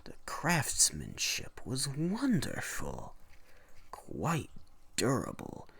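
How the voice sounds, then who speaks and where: A man narrating in a deliberate, theatrical way, as a dramatic reader of horror stories. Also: medium pitch, creaky, a bit bright, no echo. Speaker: narrator of horror story readings.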